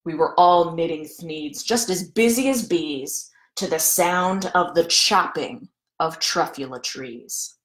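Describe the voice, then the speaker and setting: Woman playing greedy male factory owner, boastful, dramatic storybook telling, unhurried, echoey room. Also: lowish voice. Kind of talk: children's storybook read-aloud.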